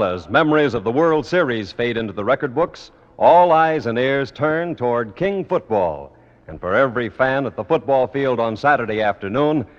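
Male 1950s radio announcer, animated scripted delivery, medium pitch, muffled archival broadcast. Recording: dull old radio broadcast recording.

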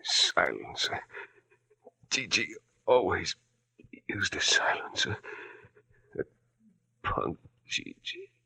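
An actor playing a dying, bitter bank robber, weak and breathless, in an old-time radio drama. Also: male voice, on the low side, huge pitch swings, takes it slowly, gravelly, long pauses, sharp tone, husky, whispered, full character voice, low-quality recording. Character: dying bank robber, bitter, gasping his last words.

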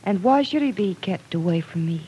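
Earnest young woman, soft dark voice. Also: on the low side, expressive, moderate pace, breathy edge, low-quality recording. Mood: earnest, pleading. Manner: earnest, questioning. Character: earnest young woman, affectionate, defending a troubled friend. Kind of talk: 1940s radio drama acting. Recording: hissy old radio drama recording.

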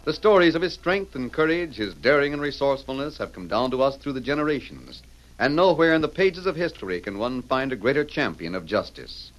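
A man's voice: mid-range voice, very animated, brisk, rough recording. A man with an American accent narrating an old-time radio drama in a grand, declamatory, theatrical style. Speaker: announcer and narrator. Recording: vintage radio recording.

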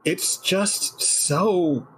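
A man's voice: high-pitched, extremely slow, a bit bright, husky, voice pushed up, heavily acted, low-quality recording. A man playing an unnerved, obsessed interview subject in a dramatic reading, anxious and emphatic. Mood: overwhelmed unease.

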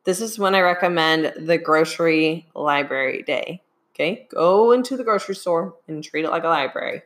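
Hoarse woman, coach asking "okay?". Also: low-pitched, normal speed. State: hoarse, getting over a sinus infection. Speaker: fitness coach. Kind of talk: live-streamed advice talk.

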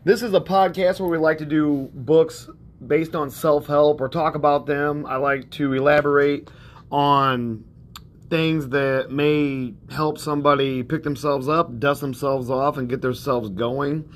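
A man's voice: fairly high pitch, fairly even intonation, a little slow. A man hosting a self-help podcast, talking in a rambling, conversational way with plenty of fillers and a soothing tone. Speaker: podcast host.

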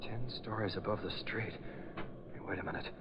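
An actor playing a resolute masked crime-fighter in 1940s radio style, urgent, clipped and dramatic. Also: male voice, on the low side, fairly quick, gravelly. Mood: tense, cautious. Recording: muffled old radio recording, noisy background.

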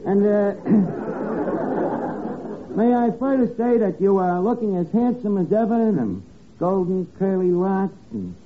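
A man's voice: high-pitched, slow pace. An actor playing a brash, sarcastic barman who mangles his grammar in a 1950s radio comedy. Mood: mock flattery. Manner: sardonic, mock-flattering, ungrammatical. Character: brash wisecracking barman, fast-talking, mangles words, sarcastic. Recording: muffled, noisy old radio broadcast.

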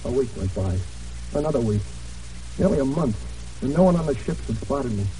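An actor playing an earnest, plain-spoken American Navy man in hiding, narrating his ordeal with dramatic pauses in a 1940s radio drama. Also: medium pitch, lively intonation, dark tone, a little hiss or hum, close to an everyday voice. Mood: desperate, weary. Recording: dull, distant old radio recording.

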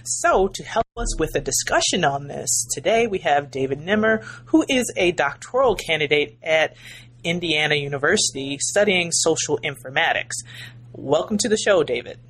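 A woman's voice: low-pitched, lively intonation, normal speed, fairly bright tone. A woman speaking as a podcast host, introducing and interviewing. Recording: clear background noise.

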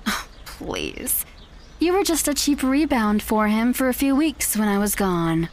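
A woman's voice: on the high side, animated, a little slow, a little hiss or hum. A young woman playing a possessive, manipulative fiancée in an audio drama, speaking in a haughty, dismissive way. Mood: irritated, dismissive. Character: possessive, condescending con-artist fiancée, smug and manipulative. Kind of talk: naturalistic audio drama acting.

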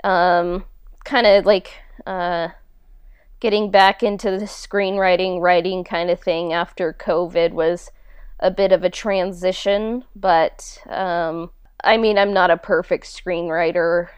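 A woman talks casually in an interview with a clear, smooth voice on the lower side. She is very unhurried, informal and a little self-deprecating, feeling her way through sentences. The sound is dry, with no room around it. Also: fairly even intonation, no echo. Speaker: podcast host and audio drama writer being interviewed.

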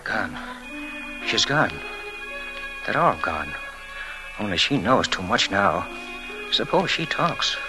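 Unhinged young killer, wildly swinging pitch. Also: male voice, very animated, slow, pauses often, poor audio. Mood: brooding, muttering. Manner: muttering, repetitive, swinging into frenzy. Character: unhinged young male murderer, cunning, paranoid, childishly boastful. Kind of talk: old-time radio thriller acting. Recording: vintage radio drama with dramatic music.

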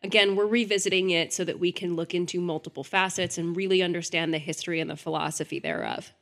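A woman's voice: on the low side, steady tone, quick pace, fairly bright tone. A woman co-hosting a podcast, talking in a chatty and enthusiastic way. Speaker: podcast co-host. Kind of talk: casual podcast chat.

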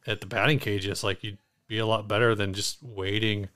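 A man's voice: fairly low pitch, fairly even intonation, moderate pace, sharp tone. A man speaking as a podcast co-host in casual, rambling chat, often reworking his phrases as he goes.

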